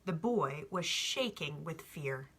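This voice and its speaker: A woman reads a storybook aloud. Her voice sits a bit low for a woman, with a bright, crisp edge. The delivery is informal, like a home read-aloud. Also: animated, takes it slowly. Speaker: audiobook reader. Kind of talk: storybook narration with informal asides.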